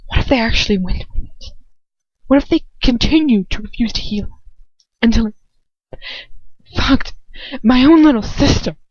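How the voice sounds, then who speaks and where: A woman voicing a distraught, guilt-ridden young man in an audiobook, with a cracking, wavering, tearful and halting delivery. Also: a little high, animated, darkish tone, no room sound, voice pushed up. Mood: bitter, anguished. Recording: dull lo-fi home recording.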